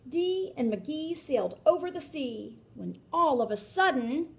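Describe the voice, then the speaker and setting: Expressive woman reading aloud, gravelly creak. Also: high voice. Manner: animated, pausing to ask listeners questions. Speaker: teacher reading a picture book to children. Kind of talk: expressive read-aloud with explanatory asides.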